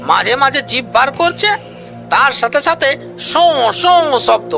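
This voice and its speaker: A narrator doing a high-pitched gossiping village elder. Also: expressive, normal speed, vocal fry, bright, forceful tone, faint music behind, full character voice. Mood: suspenseful. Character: older village man, gossipy and concerned elder. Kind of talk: animated village folk tale dialogue.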